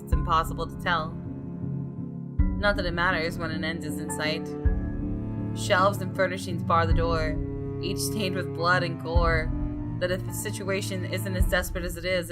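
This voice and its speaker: A woman gaming YouTuber reading a visual novel aloud as dramatic narration. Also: on the low side. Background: music.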